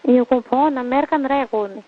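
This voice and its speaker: Female caller, Marwari accent, high voice, informal private conversation over a narrow phone line. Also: normal speed. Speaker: caller on a personal phone call. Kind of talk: casual intimate phone chat. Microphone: telephone line. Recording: thin, band-limited phone call recording.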